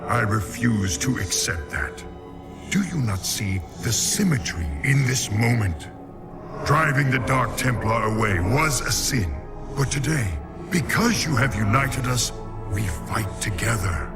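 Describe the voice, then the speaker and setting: An actor playing a proud, devoted alien warrior in a game cinematic, earnest and declamatory, with a processed telepathic effect. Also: male voice, medium pitch, very animated, a little slow. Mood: earnest conviction. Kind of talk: dramatic video game cinematic acting. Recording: distant voice under cinematic music.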